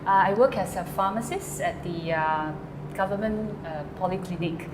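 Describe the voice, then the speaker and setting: A woman's voice: on the low side, moderate pace, roomy sound, background noise. A woman, a songwriter answering interview questions hesitantly, with many fillers and restarts. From Malaysia. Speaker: guest songwriter.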